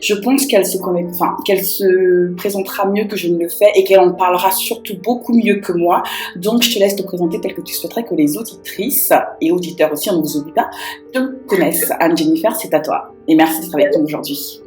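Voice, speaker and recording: A woman hosting a live radio broadcast, her voice a little hoarse as she recovers from losing it. She rambles and hunts for the right words. The room around her rings noticeably, with faint music underneath. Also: lowish voice, a little fast, a little mellow, reverberant. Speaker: radio co-host.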